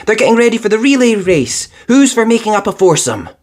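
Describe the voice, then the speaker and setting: A storyteller voicing an earnest, excitable boy in a children's storybook, with an urgent, rallying manner. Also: medium pitch, a little fast, voice pushed up, lightly acted. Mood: determined.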